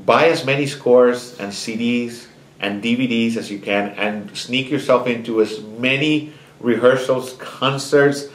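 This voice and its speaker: A man's voice: medium pitch, measured pace. A middle-aged man from Nicaragua, a music director, answering interview questions in a thoughtful, storytelling way with small restarts. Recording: echoey concert hall.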